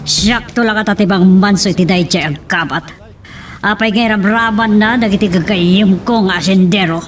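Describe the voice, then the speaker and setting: A woman's voice: medium pitch, normal speed, distant mic, soft background music, natural voice. A woman with an Ilocano accent playing a haughty middle-aged hacienda lady and mother in a radio drama. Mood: probing.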